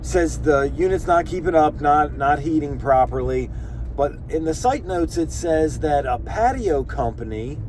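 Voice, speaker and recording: A man's voice: a little high, animated, measured pace, darkish tone, slight background noise. A man working as an HVAC technician, talking through a casual work vlog with a stuffed-up, congested voice while thinking aloud. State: stuffed up, under the weather.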